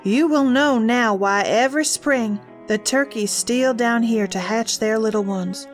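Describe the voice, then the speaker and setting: A solemn ghost of a gobbler from a children's audio drama, voiced by a woman. The delivery is deliberate and drawn out, over soft background music. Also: a little high, close to an everyday voice. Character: solemn gobbler ghost, leader of the turkey spirits.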